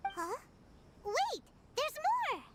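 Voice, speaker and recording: A woman voicing a small, cheerful, inquisitive childlike fairy companion in a video game, with a squeaky, chirpy, expressive delivery. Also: very slow, heavily acted. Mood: curious. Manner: chirpy, expressive, refers to self in third person. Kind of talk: animated video game voice acting. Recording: loud game music behind the voice.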